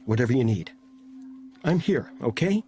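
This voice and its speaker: An actor voices a reassuring father in an audio drama. He speaks softly and gently at an ordinary pitch. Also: sing-song, normal speed, slight background noise, natural voice. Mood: gentle. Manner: soft, reassuring.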